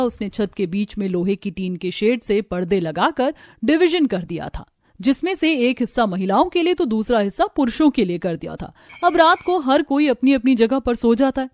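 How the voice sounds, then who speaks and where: A woman's voice: fairly high pitch, expressive, normal speed, a little mellow. A woman narrator telling a scripted cartoon story.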